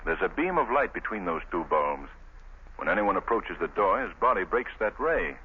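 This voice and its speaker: A calm gentleman sleuth. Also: low voice, husky, full character voice. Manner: measured, explanatory. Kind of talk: 1930s radio drama acting. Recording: tinny old radio broadcast, faint hiss.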